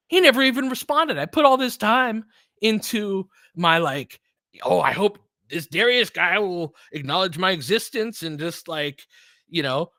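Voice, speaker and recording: A man's voice: fairly high pitch, a bit bright, no room sound. Dry-voiced man telling a rambling story. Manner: rambling storytelling, many fillers and restarts. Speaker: podcast host. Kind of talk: casual livestream chat answering viewers.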